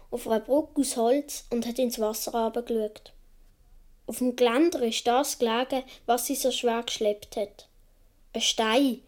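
Woman playing a high-voiced naive Swiss little boy. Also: darkish tone, lightly acted. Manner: naive, colloquial storytelling. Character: seven- or eight-year-old Swiss boy recalling his childhood. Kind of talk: radio play narration.

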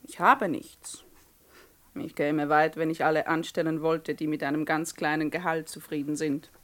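An actress playing a brusque, haughty middle-aged shop boss in a 1960s radio play, curt and condescending. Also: female voice, sits low, flat delivery, normal speed, fairly bright tone. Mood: curt, dismissive.

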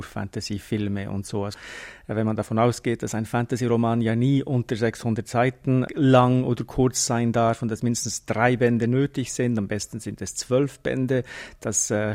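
A man's voice: mid-range voice, a little mellow. A man answering in an interview as an expert, explaining in long, built-up sentences.

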